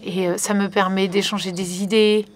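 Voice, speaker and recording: A woman's voice: medium pitch, flat delivery, moderate pace. A woman working as a conference interpreter, relaying a panel live with frequent fillers and restarts. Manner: hesitant, many fillers and restarts.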